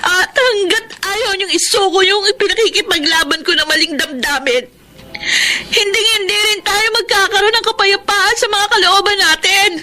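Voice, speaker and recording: A woman's voice: very high-pitched, moderate pace, pushed and bright, soft background music. A woman acting a fed-up, defiant young daughter in a Filipino radio drama, speaking with emphatic reproach. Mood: anguished, pleading. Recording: faint background noise.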